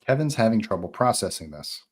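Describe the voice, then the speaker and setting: A man reading screenplay action aloud as narrator of a live table read, in an informal, animated way. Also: on the low side, a little fast, breathy edge.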